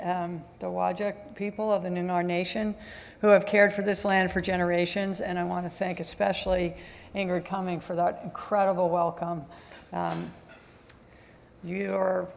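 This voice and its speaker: A woman giving a formal public address as an ambassador, soft-spoken and measured, with frequent hesitant ums. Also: fairly low pitch, creaky, slight background noise. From United States. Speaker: ambassador giving welcome remarks. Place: large stadium event venue. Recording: echoey large event hall.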